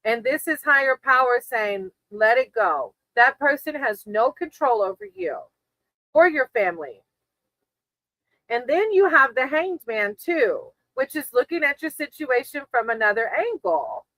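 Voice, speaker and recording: A woman with a fairly high, bright voice gives a casual tarot reading on a livestream. She speaks reassuringly and encouragingly to her viewers, and the sound is dry and close. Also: animated, measured pace, no room sound, poor audio. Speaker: tarot reader hosting a livestream. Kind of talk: casual live tarot card reading with chat.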